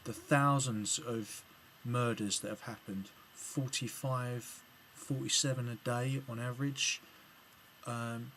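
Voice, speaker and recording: English male vlogger, mid-pitched, halting delivery with lots of fillers, light background hiss. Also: fairly even intonation, a bit bright. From England. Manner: hesitant, many ums and restarts. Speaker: video blogger replying to another video. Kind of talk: casual spoken commentary to camera.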